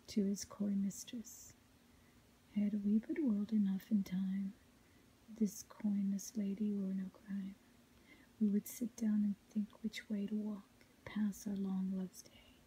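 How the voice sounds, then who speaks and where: A woman softly reading poetry in a breathy whisper, ASMR style, with a measured, melodic recitation. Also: medium pitch, level tone, rough recording. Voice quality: soft unvoiced whisper, breathy.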